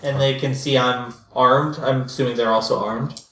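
A man's voice: mid-range voice, fairly even intonation, normal speed, fairly bright tone, reverberant, a little hiss or hum. A man playing a tabletop game, describing his character's actions in a hesitant, thinking-aloud way. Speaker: player.

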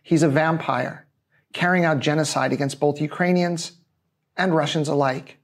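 A male-voiced spokesperson delivers a dramatic scripted statement in a slow, grave, theatrical way. The voice sits slightly high for a man. It plays back from a video clip and sounds a little distant. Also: steady tone. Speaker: military spokesperson in a played video.

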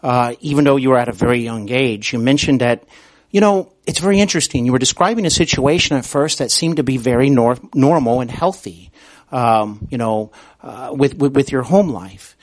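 A man hosting an interview show, speaking earnestly in a halting way as he introduces and questions his guest. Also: medium pitch, animated, no room sound. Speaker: podcast host.

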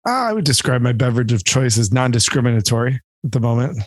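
A man's voice: mid-range voice, sing-song, fast talker, slightly rough, no echo. A man from Michigan co-hosting a podcast, chatting casually with a wry, joking manner. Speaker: podcast co-host. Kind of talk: casual chat. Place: home.

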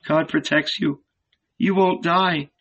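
Man doing a gentle mother, soft, slow, reassuring, husky. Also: on the high side, steady tone, highs cut off, voice pushed up, exaggerated voice. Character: calm, gentle mother, kind and certain.

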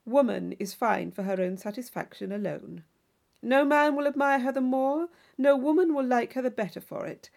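A woman's voice: sits high, moderate pace, creaky, darkish tone. Woman reading a classic novel expressively. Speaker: audiobook reader. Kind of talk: scripted literary narration.

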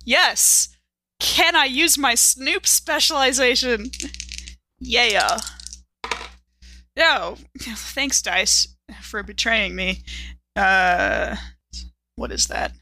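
A woman chats as a player at a tabletop game. Her voice is on the high side and bright, and she takes her time in casual, bantering talk. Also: animated, extremely slow, long pauses, a little hiss or hum.

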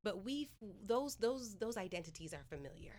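A woman's voice: mid-range voice, very animated, moderate pace, a little mellow. A woman from Northern California, a playwright and professor, talking through ideas in a thoughtful podcast conversation, with many "like"s and restarts. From Northern California, USA.

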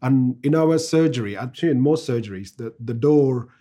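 Man with a mellow voice, first language Tamil. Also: mid-range voice, moderate pace. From India. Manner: earnest, anecdotal, with frequent restarts. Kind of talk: conversational discussion.

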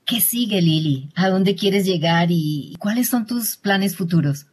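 Husky-voiced female interview host. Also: lowish voice, expressive, unhurried. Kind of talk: scripted interview questions.

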